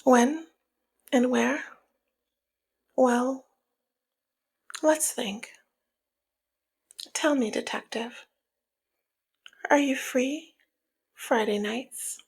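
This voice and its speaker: A woman playing a flirty, teasing, dominant female mob boss in an intimate ASMR roleplay, with a husky, breathy, half-whispered voice and a sultry, taunting manner. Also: high-pitched, a little mellow, exaggerated voice. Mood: flirty, playful. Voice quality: breathy, husky, often slipping into near-whisper. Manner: slow, sultry, playful taunting. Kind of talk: ASMR roleplay, intimate one-sided dialogue.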